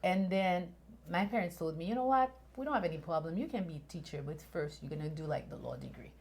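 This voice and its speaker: A woman's voice: fairly low pitch, fast, creaky, a little mellow. A woman from Haiti in her thirties, a guest attorney talking through her story with frequent fillers and restarts. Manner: thoughtful, many fillers and restarts. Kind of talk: interview conversation.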